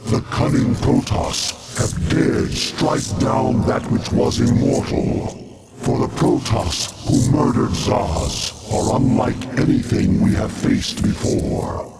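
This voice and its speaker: A man voicing an ancient, godlike alien overmind in a video game, grandiose and solemn, with a gravelly, otherworldly voice. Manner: solemn, grandiose, slow proclamation. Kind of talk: dramatic video game voice acting. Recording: loud rumbling creature noise behind.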